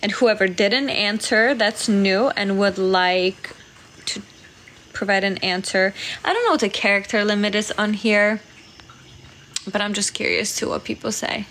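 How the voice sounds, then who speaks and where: Slow-talking woman chatting on a livestream. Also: medium pitch, steady tone, fairly bright tone, a little hiss or hum. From Poland. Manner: chatty, giggly, thanks viewers often. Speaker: livestream host. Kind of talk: casual livestream chat reading comments.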